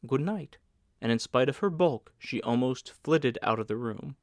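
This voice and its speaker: A man's voice: medium pitch, moderate pace, studio quality. Male audiobook narrator for literary fiction, mid-range voice, scripted read, no room reverb.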